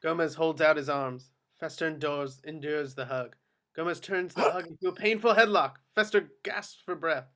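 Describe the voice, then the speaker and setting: A man's voice: a little high, moderate pace, a bit bright. A man reading out screenplay action directions as scripted narration at a table read. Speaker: action-line reader.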